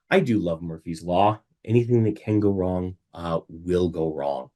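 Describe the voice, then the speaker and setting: Raspy-voiced man presenting from home. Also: deep voice, slow pace, a little mellow. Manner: chatty, asks rhetorical questions. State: raspy voice from illness. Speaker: webinar presenter. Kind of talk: casual presentation.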